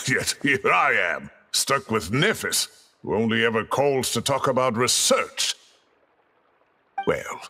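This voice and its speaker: A man's voice: mid-range voice, pushed and bright, long reverb tail, a little hiss or hum, full character voice. A man voicing a jovial, talkative middle-aged guild leader with hearty, playful boasting in a game dub.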